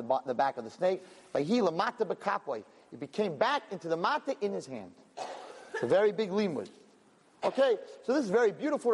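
A man giving a lively Torah lecture to a live audience, acting out pleading voices and mixing in Hebrew terms. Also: fairly high pitch, slightly rough. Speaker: rabbi lecturer. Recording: long reverb of a hall.

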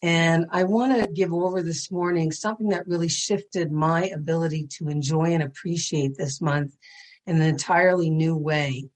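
Raspy low-voiced woman teaching. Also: fairly even intonation, moderate pace. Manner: earnest and gentle, with frequent ums. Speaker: Torah educator and speaker. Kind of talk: spiritual class over video call. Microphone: video call.

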